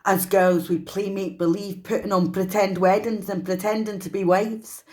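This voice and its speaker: A woman with a Scottish accent performing a dramatic, emotive solo stage monologue as a traumatised young woman raised in an orphanage, pausing deliberately. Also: medium pitch, level tone, a little mellow, natural voice. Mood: wry, reminiscing.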